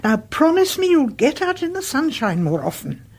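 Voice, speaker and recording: An actress playing a frail, kindly elderly neighbour in a radio play, genteel and hesitant, with a husky, breathy voice. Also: female voice, sits high, big pitch swings, moderate pace. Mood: kindly, wistful. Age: older.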